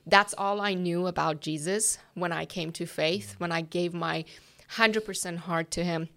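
Young female guest, slight Persian accent, earnest and halting, lowish clear voice. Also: steady tone, fairly bright tone. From Iran. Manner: earnest, hesitant with many ums. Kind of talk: telling her life story. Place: office.

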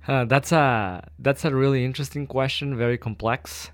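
A young man from Ecuador, giving thoughtful, hesitant interview answers full of pauses and restarts. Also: mid-range voice, steady tone, no echo, clean studio sound. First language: Spanish. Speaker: guest, doctoral student.

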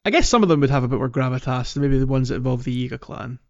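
A slightly lo-fi recording of a man with a mid-range voice. He co-hosts a casual gaming podcast and tells things in a rambling fashion, often pausing and restarting as he goes. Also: mid-range voice, expressive, gravelly. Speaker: podcast co-host.

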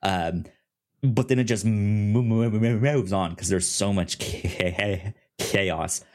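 A man co-hosting a casual podcast discussion, speaking haltingly and often repeating syllables and words in a stutter-like way. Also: lowish voice. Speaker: podcast co-host.

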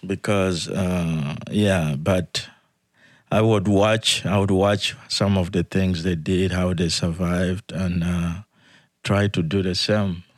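A man telling his life story as an interview guest, talking in a halting, soft-spoken way with frequent restarts. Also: low-pitched, steady tone, no echo, clean studio sound. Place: podcast studio.

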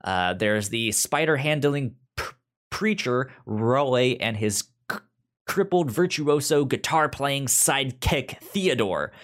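A man hosts a podcast in a conversational way, sometimes slipping into reading. His voice sits at a middle pitch, and he stutters, repeating sounds and words as he goes. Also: animated, takes it slowly, a bit bright. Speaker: podcast host. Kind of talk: conversational pitching of media picks, partly reading synopses.

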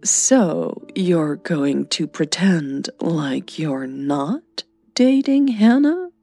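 A woman's voice: low voice, animated, drawn-out words, warm tone, faint music behind, exaggerated voice. A woman voicing a middle-aged mother in an audio drama, blunt yet caring and emotional. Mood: probing, concerned.

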